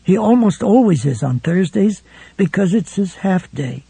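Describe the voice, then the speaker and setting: A man's voice: sits high, expressive, moderate pace, gravelly, mellow, breathy edge, no echo, pitched above the performer's own voice, full character voice. A man voicing a frightened, tearful young mother who pleads and frets. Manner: fretful, pleading, rising with fear.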